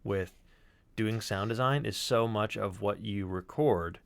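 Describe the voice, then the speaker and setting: A man talking casually as a podcast sound designer, telling stories in an animated, sweary way. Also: lowish voice, unhurried, gravelly, dry recording. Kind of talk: casual chat and storytelling.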